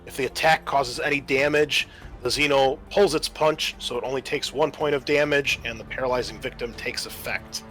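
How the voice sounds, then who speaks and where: A man's voice: mid-range voice, steady tone, normal speed, a bit bright. A man acting as game master, narrating a horror tabletop roleplaying game in an improvised way, mixing storytelling with rules talk and dry asides. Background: background music.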